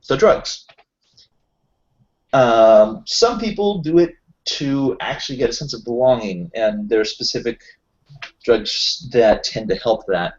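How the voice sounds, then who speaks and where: A man's voice: medium pitch, expressive, a little slow. Male tabletop player, mid-range voice, casually explaining at length, slightly dull recording. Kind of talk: casual in-character chat.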